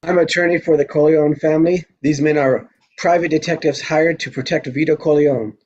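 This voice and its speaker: A man plays a family attorney in a live script read. His voice sits a bit high and sounds dull and lo-fi. He is firm, formal and assertive. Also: fairly even intonation, brisk, a little mellow, lightly acted.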